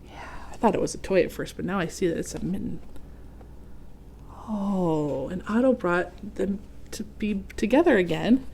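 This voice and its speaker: A woman reading a picture book aloud to children, expressive and often turning to speak directly to the young listeners with questions and explanations. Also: fairly low pitch, moderate pace, vocal fry, a little hiss or hum. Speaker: read-aloud host for children.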